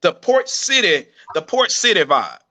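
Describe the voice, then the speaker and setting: A male host with a bright, edgy voice pitched a little high. He talks bluntly and dismissively in casual slang. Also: huge pitch swings, measured pace. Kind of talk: casual live-stream chat.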